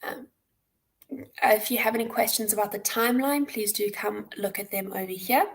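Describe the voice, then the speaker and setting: A woman's voice: mid-range voice, normal speed, gravelly. A woman with a South African accent hosting an online walkthrough, explaining things informally step by step. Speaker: hackathon host and presenter. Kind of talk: live platform walkthrough, instructional. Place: indoor room, on a livestream webcam.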